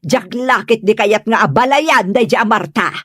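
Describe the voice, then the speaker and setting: Woman acting an indignant scolding mother, sharp voice. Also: fairly high pitch, lively intonation, normal speed, no room sound, clean studio sound. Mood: disapproving. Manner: scolding, exclaiming. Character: indignant, disapproving mother who forbids and scolds. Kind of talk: Ilocano audio comedy drama acting.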